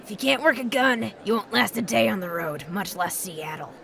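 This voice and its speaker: A woman's voice: medium pitch, lively intonation, moderate pace, vocal fry, a bit bright. A woman playing a tough, blunt, protective wasteland survivor in an audio drama, with a wry, plain-spoken manner. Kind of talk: post-apocalyptic audio drama acting.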